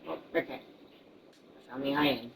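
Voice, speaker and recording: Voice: medium pitch, steady tone, measured pace, creaky, recorded from a distance, background noise. A game streamer chatting casually and thinking aloud in a rambling, sweary way.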